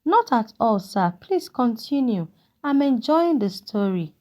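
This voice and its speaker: A woman's voice: on the high side, big pitch swings, a little slow, a little mellow, voice pushed up. An innocent teenage girl, voiced by a woman storyteller in a folktale. She speaks politely and deferentially. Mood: polite, eager. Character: innocent, polite sixteen-year-old village girl. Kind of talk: character dialogue in a narrated folktale.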